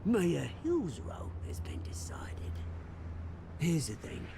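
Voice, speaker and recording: A man's voice: fairly high pitch, huge pitch swings, takes it slowly, creaky, background noise, exaggerated voice. An actor playing a cocky, theatrical British crime boss in a video game, taunting and mock-polite as he swaggers. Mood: smug, persuasive. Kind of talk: dramatic video game acting.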